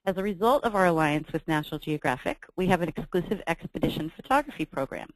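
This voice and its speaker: A woman's voice: lowish voice, animated, dry recording, low-quality recording. Upbeat woman hosting a travel webinar. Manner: reads from slides, brisk and upbeat with filler ums. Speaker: webinar host for a travel company. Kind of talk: scripted sales presentation.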